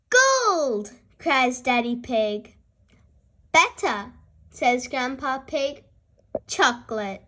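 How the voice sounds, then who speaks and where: A young-sounding host reading a children's storybook aloud in a cheerful, expressive way, speaking directly to young listeners. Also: sits high. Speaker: host and reader of a children's read-aloud show.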